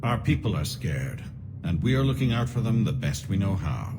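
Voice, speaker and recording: A man's voice: very animated, moderate pace. An actor playing a stern, defensive male alien councilor in a game, formal and challenging. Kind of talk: dramatic game dialogue. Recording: reverberant chamber, clear rumbling noise.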